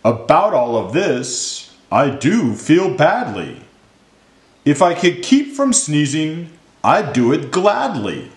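Give this storybook elephant a man's performance with swooping pitch and an unhurried pace. He is big, gentle and apologetic, easily tipped into a flap, in a roomy, echoing recording. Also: sing-song. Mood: sad, apologetic. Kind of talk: rhyming children's storybook character.

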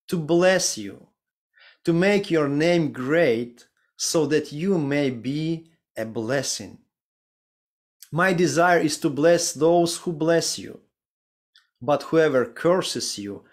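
Slow-speaking Ukrainian man preaching. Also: medium pitch. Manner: slow, deliberate reading with careful pauses. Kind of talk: sermon with scripture reading. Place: home study with bookshelves, indoors. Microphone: video call webcam.

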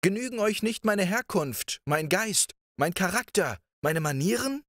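A man voicing a witty, ugly young prince who pleads emphatically with a high, lively voice. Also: measured pace, dry recording, clean studio sound. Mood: insistent.

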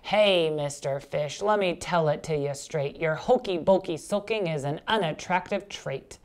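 A woman voicing a blunt, stern male octopus from a children's storybook, talking straight and deliberately. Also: deep voice, darkish tone.

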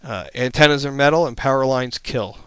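A man's voice: medium pitch, a little fast, a bit bright, clean tone, no room sound. A man narrating an informal slide presentation as an instructor, explaining things in a plain-spoken, matter-of-fact way.

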